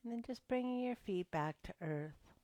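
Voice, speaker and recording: A woman leading a gentle yoga and breathing class, speaking softly and sometimes dropping to a whisper. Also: fairly high pitch, animated, no echo. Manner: slow, soft-spoken and gentle, drifting into whispers. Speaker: yoga instructor.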